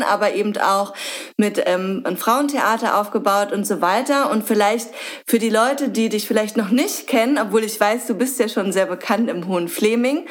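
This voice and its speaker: Young female host, chatty, halting, interviewing at a guest's home. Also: mid-range voice. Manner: chatty, frequent hesitations. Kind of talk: casual interview.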